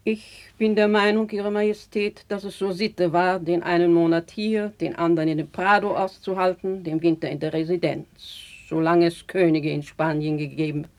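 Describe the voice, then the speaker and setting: An actress as a prim, low-voiced duchess. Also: female voice, steady tone, normal speed, hardly any pauses, darkish tone, close to an everyday voice. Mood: prim, disapproving. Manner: formal, prim, pedantic. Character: stiff, rule-bound duchess, strict court chaperone. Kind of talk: 1950s German classical radio drama acting.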